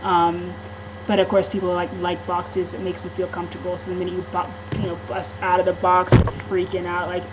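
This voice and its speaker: A woman's voice: on the low side, completely flat delivery, fairly quick, noisy background. A woman talking candidly in a casual vlog monologue, rambling, with frequent ums and restarts. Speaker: vlogger.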